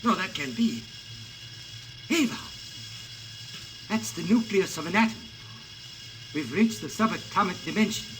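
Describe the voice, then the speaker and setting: A man's voice: high-pitched, animated, a little slow, pauses often. An actor playing a devoted young explorer, earnest and tender with his wife, in a 1950s radio drama. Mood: excited. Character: devoted young male explorer, husband to Ava. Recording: hissy old radio broadcast.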